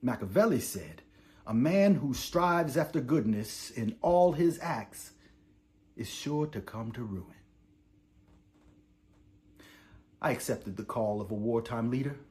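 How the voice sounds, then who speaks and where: A male actor plays an imprisoned revolutionary leader, worn down yet defiant. His mid-pitched voice carries a stage monologue in the form of a letter home. The delivery is measured and oratorical, with the weight of serious theatre. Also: expressive, close to an everyday voice. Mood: somber. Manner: deliberate oratorical delivery swinging from hushed to impassioned. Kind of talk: dramatic theatrical monologue, letter read aloud.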